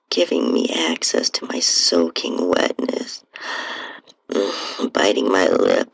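A woman narrates a first-person story in a breathy, husky voice full of gravelly fry. Her delivery is sultry and slow, with pitch that swings widely from low creak to lighter tones. It sounds intimate, like an audiobook. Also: no echo, low-quality recording. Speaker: podcast host and narrator.

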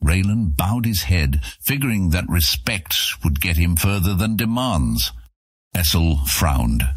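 A man with a deep, husky voice reading scripted fantasy audiobook narration. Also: animated, measured pace, no echo, studio quality.

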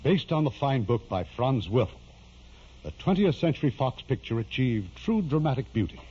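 Formal radio host, man, very husky, medium pitch, measured scripted narration on a muffled vintage broadcast. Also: very animated, mellow. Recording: dull old radio broadcast with music.

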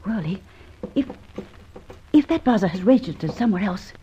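A woman's voice: mid-range voice, animated, moderate pace, warm tone, slight background noise, close to an everyday voice. A woman acting a game, watchful young partner in an old-time radio adventure serial, speaking in an earnest, cautious, questioning way. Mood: cautious, alert. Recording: dull, band-limited old radio recording.

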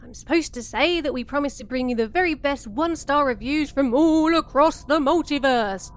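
A woman's voice: sits high, moderate pace, natural voice. A woman playing a bitter, sardonic podcast host in an absurd comedy audio drama, jaded and mock-solemn.

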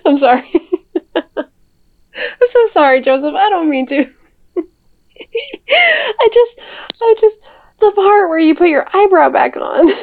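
A woman's voice: extremely high pitch, very animated, slow, long pauses, heavily acted. A woman acting as a friendly, teasing mom in an audio drama, talking casually through a phone call filter. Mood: amused, teasing. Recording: dull, band-limited phone call sound.

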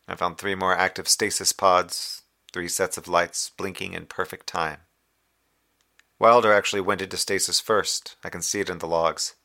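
Lonely moonbase radio officer, very low pitch, creaky edge, brooding, halting, guilt-ridden, audio drama monologue. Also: male voice, pushed and bright. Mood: wistful, grieving. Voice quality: low, gravelly. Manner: halting, brooding, drifting into recited poetry.

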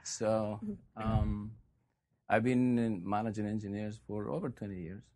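Peruvian-born man, forties, deep gravelly voice, easygoing and wry. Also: measured pace. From Peru. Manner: relaxed, joking. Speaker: guest. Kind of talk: casual chat.

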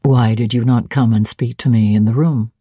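A woman voicing a brusque, imperious gentleman of the manor who questions and gives orders. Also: extremely low pitch, steady tone, moderate pace, breathy edge, dry recording, studio quality, pitched below the performer's own voice. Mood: probing.

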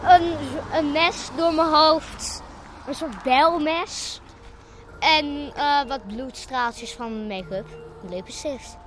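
A woman's voice: high-pitched, drawn-out words, fairly bright tone, slight background noise. A woman in casual chat.

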